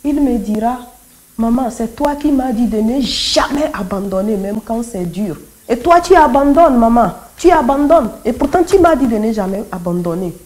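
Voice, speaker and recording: Mellow, high-voiced woman giving testimony. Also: lively intonation, moderate pace, low-quality recording. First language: Kotokoli. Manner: emphatic, repeats phrases, acts out quoted dialogue. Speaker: church testimony speaker. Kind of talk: personal religious testimony, dramatic storytelling.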